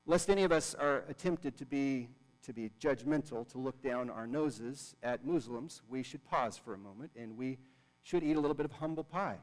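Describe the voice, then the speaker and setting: A man's voice: medium pitch, moderate pace. A man preaching a sermon to a congregation, earnest and repeating words for emphasis. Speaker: pastor. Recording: long reverb of a large hall.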